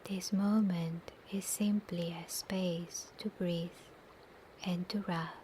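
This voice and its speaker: A woman leading a guided meditation in a soft, breathy, near-whispered and soothing voice, with long pauses between short instructions. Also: on the low side, fairly even intonation, slight background noise, rough recording. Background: soft nature ambience.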